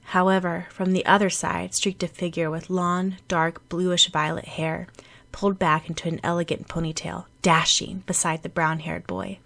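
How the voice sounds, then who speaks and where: A woman's voice: fairly low pitch, level tone, normal speed, creaky, fairly bright tone. Woman narrating a fiction audiobook. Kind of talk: expressive scripted fiction narration.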